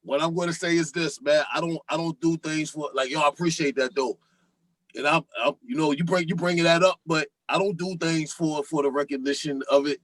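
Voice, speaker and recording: A man, a guest rapper on a podcast, talking loosely and excitedly about music in casual chat. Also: a little high, fairly quick, no echo, poor audio. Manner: loose, animated, talks over others.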